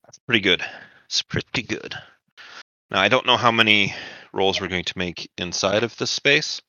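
A man's voice: fairly low pitch, moderate pace, sharp tone, no room sound, lo-fi sound. A man narrating a tabletop roleplaying game as the game master, setting scenes and calling for rolls.

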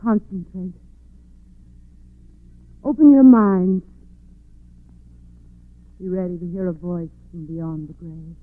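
A woman's voice: lowish voice, fairly even intonation, slow pace, pauses often, phone-quality sound. An actress playing an earnest, insistent woman in a 1940s radio murder mystery. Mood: firm. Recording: thin, dry old radio recording.

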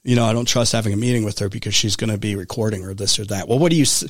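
A man from Arizona, hosting a casual political talk show, his voice going hoarse and his talk rambling with many restarts. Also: lowish voice, racing, no room sound, clean studio sound.

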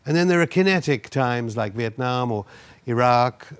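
A man presents and interviews in a broadcast style. His voice is of middling pitch. He builds long, wordy sentences with a pointed edge. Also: expressive, normal speed, clear, resonant tone. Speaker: interviewer, show host.

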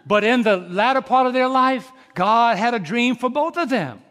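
A man in his fifties with a high voice preaches to his congregation, tossing questions out for them to answer. He drops to a slow, quiet hush and then climbs into loud, ringing exhortation. His words hang in the long reverb of a large hall. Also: expressive. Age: 50s. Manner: swings from soft, slow reflection to loud, rising exhortation. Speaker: pastor. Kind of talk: sermon.

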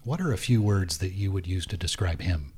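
A man's voice: low-pitched, a little fast, darkish tone, husky. An American man asking questions and sharing thoughts as the interviewer in a casual, conversational interview.

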